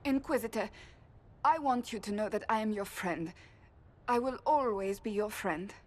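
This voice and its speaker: A woman's voice: medium pitch, moderate pace, lightly acted. An actress playing an earnest, formal young woman who speaks hesitantly to a friend.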